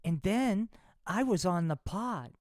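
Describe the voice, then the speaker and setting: A man voicing a ditzy woman puppet confessing in a giddy, breathy way. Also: high voice, lively intonation, slow pace, darkish tone, dry recording, studio quality, pitched above the performer's own voice. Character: woman puppet, former good girl, ditzy.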